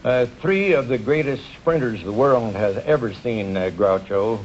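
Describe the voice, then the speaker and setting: An older man, a track coach appearing as a quiz contestant, who speaks in an earnest, folksy way and often pauses with uhs, on a TV studio stage. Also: mid-range voice. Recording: dull 1950s TV audio with hiss.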